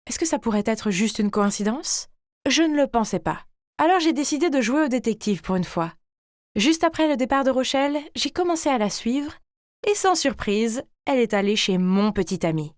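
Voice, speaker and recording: A woman narrates a scripted animated story in the first person. Her voice sits fairly high and she delivers it theatrically and expressively, as a teenage girl would tell her own drama. The sound is very dry, with no room around it. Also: no echo.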